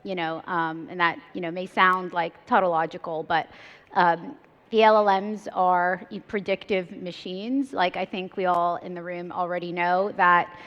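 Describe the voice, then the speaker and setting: Low-voiced woman on a conference stage. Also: flat delivery, normal speed. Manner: casual, fluent, filler-heavy with frequent "like" and "you know". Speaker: startup CEO panelist. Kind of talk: conference panel discussion. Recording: reverberant hall, dull lo-fi sound.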